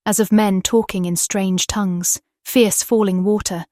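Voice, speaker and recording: A gentle young woman speaks as if entranced, in slow, dreamy phrases performed by an actress. It is gothic audiobook drama, recorded very dry with no room around the voice. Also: medium pitch, steady tone, no room sound, lightly acted. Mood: entranced, eerie. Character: gentle young married woman in hypnotic trance.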